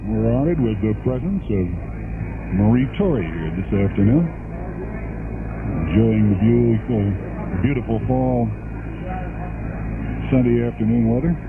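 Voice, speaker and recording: A man's voice: mid-range voice, animated, unhurried, creaky, pauses often. A man calling baseball play-by-play on 1970s radio in short, clipped, conversational phrases. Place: stadium broadcast booth. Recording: noisy, tinny narrow-band 1973 radio broadcast.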